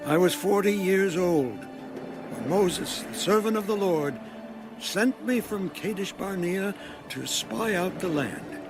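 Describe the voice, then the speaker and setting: An actor playing a steadfast eighty-five-year-old warrior in a solemn, measured dramatized Bible reading. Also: male voice, sits high, lively intonation, heavily acted. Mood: solemn, proud. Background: music.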